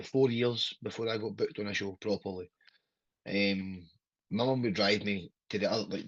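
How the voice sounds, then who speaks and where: A low-voiced, husky man in his thirties with a strong Glaswegian accent talks as a guest on a podcast. He rambles and swears casually, and he keeps asking whether his listener follows him. The audio sounds dull and lo-fi, with a dry, close feel. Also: a bit bright, no room sound. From Scotland. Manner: rambling, sweary, keeps asking "do you know what I mean". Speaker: pro wrestler guest.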